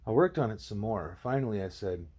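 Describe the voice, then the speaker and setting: Husky male storyteller with animated delivery. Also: lowish voice, brisk. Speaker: audiobook reader. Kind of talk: expressive first-person story narration.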